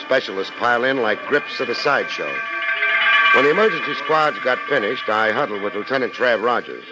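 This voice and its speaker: Actor as a wry private eye, lively delivery. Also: male voice, mid-range voice, a little fast, loud music behind the voice, natural voice. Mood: dry narration. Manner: dry, wry, deadpan. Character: laid-back, wry male private detective. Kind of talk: 1950s radio detective acting and narration. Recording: noisy vintage radio recording.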